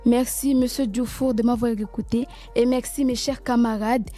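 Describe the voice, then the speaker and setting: Earnest young student, dark-toned. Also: female voice, fairly high pitch, fairly even intonation, normal speed, soft background music. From Mayotte. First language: Kibushi. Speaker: student presenter. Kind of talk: school podcast presentation.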